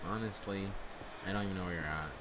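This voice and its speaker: A man's voice: sits low, quick pace, a bit bright. A man telling comic stories casually over gameplay, rambling in a tongue-in-cheek, mock-earnest way. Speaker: host of a gaming storytelling channel.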